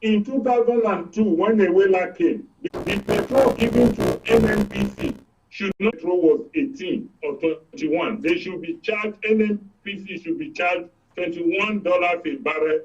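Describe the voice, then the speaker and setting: High-pitched older Nigerian man, explaining emphatically. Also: huge pitch swings, normal speed, distant mic, a little hiss or hum, poor audio. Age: 60s or older. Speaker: guest economist. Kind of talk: explanatory political discussion on a live broadcast.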